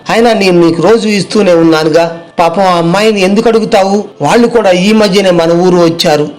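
An earnest, protective young man acted by a male storyteller speaks in a fairly high male voice. He is a dutiful son in a storybook moral tale, explaining himself respectfully. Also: darkish tone, natural voice. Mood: scolding, exasperated. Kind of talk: dramatized moral story dialogue.

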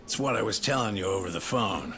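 An actor playing a sleazy middle-aged private detective in a video game, mocking and slangy. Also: male voice, on the low side, animated, brisk, a bit bright, soft background music. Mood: smug, taunting. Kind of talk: video game cutscene acting, English dub.

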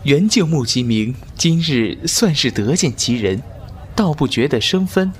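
A man's voice: mid-range voice, big pitch swings, a little slow. A man playing a polite young nobleman who gets flustered when teased, with music behind him. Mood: courteous. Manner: courteous, flustered when pressed. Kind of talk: Chinese audio drama acting. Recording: over background music.

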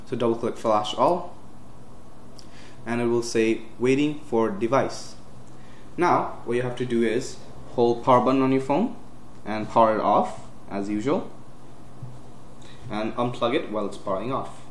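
A young man giving a casual step-by-step computer tutorial, with frequent uhs and quick self-corrections. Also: mid-range voice. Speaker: tech tutorial host. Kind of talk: screen-recorded software walkthrough. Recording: roomy with faint hiss.

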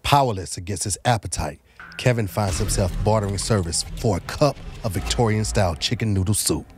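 A man's voice: fairly low pitch, fairly quick, noisy background. A man narrating a movie trailer in a mock-dramatic way into a studio microphone. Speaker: comedian contestant. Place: podcast studio. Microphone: studio microphone with headset.

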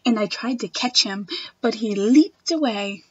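A young woman reading a picture book aloud to children in a gentle, expressive manner. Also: fairly high pitch, unhurried, dull audio, a little hiss or hum. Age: young adult. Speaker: storytime reader. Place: wood-panelled room at home.

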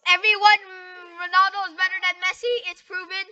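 Very high, bright young gamer voice. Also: fairly quick, highs cut off. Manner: excitable, foul-mouthed teasing. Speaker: video game player. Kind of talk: casual gaming chatter with friends. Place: gaming voice chat over screen capture.

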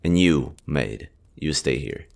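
A man acting a kind, earnest young army general in a romantic audio roleplay, hushed, halting and emotive. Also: very deep voice, expressive, gravelly, background noise, exaggerated voice. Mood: composed authority.

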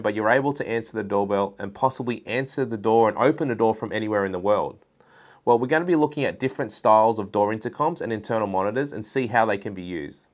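A man in his forties presenting a product explainer to camera in an informal, instructional way. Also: mid-range voice. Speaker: presenter for a networking and security business. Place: indoor studio room at a desk.

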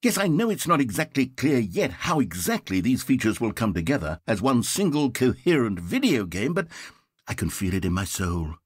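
An actor voicing a video game's narrator: a man with a polite, theatrical manner, breathy and fretful. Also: mid-range voice, sing-song, moderate pace. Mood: wheedling. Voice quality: breathy, dry close-miked studio voice. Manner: polite, fretful, swinging between grandeur and self-doubt. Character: fussy, theatrical male narrator of a video game. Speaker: game narrator. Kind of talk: scripted game voice acting. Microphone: studio microphone.

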